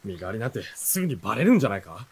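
An actor playing an observant young companion who narrates an adventure in a dramatic, scripted radio style. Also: male voice, on the low side, big pitch swings, rapid-fire, gravelly, darkish tone, slightly rough, dry recording, full character voice. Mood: wary.

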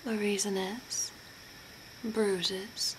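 A young woman playing an attentive, macabre zombie makeup artist in a whispered ASMR role play, with long pauses. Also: medium pitch, level tone, rough recording. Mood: soft, attentive. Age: young adult. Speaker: ASMR performer. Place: small curtained room indoors. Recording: noticeable background hiss and ambience.

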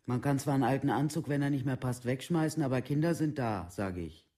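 Radio drama: weary working-class mother played by a man, mellow voice, plain-spoken, resigned, devoted to her sons. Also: mid-range voice, a little fast, natural voice. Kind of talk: documentary radio play monologue.

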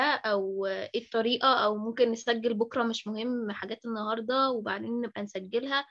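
A woman with an Egyptian Arabic accent joins an online session and offers advice, pausing on hesitant fillers. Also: medium pitch, muffled recording. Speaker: session participant.

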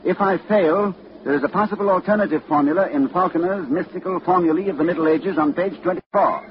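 A man's voice: sits high, fast, slight background noise, lightly acted. A middle-aged man playing a grave, urgent scholar in a 1940s radio drama, with a muffled old broadcast sound. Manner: urgent, commanding, hushed at times.

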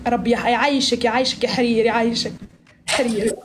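Fast-talking high-voiced young woman streamer. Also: fairly even intonation, background noise. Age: 20s. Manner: playful, mock-pious teasing, switching dialects. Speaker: live-stream host. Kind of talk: teasing live-stream chat. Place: small room indoors. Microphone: phone at arm's length.

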